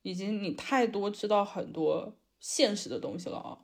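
A woman's voice: mid-range voice, moderate pace. A young woman in a casual chat among friends, emphatic and repeating words to drive her point home.

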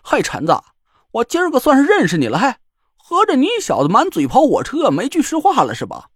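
A man's voice: very high voice, huge pitch swings, a little fast, slightly rough, no echo, studio quality, voice pushed up, heavily acted. A man voicing a cocky, teasing middle-aged man with a bantering, mock-boastful drawl. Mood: indignant.

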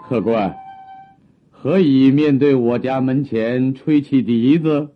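Guy playing proud old scholar dad in a radio drama, slow n husky, phone-like muffled sound. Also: medium pitch, faint music behind, close to an everyday voice. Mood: curious, guarded. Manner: theatrical, archaic literary phrasing, exclamatory. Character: elderly proud scholar father, exiled ex-official, affectionate. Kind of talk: theatrical Chinese radio drama acting.

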